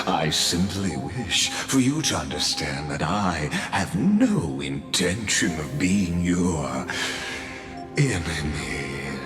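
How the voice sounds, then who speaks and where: A very low, slow voice with echo around it and music behind a scholarly game villain. He is calm and calculating, grandiose in his phrasing, and speaks with courtly condescension, letting his pitch move freely. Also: exaggerated voice. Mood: calmly menacing. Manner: courtly, eloquent, deliberate, condescending. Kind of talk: theatrical video game villain monologue. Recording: echoing voice over game music.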